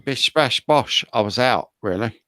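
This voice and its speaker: A man with a British accent telling casual, cheeky stories, often halting and repeating himself. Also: mid-range voice, lively intonation, fairly bright tone, no room sound, studio quality. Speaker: talk show regular. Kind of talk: casual bawdy storytelling.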